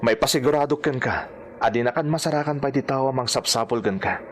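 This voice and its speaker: A man playing a confident, solicitous leading character with a persuasive, deliberate manner in a melodramatic radio drama. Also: mid-range voice, slight background noise, lightly acted. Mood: assured, persuasive. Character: confident, solicitous man guiding a devoted female accomplice. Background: background music.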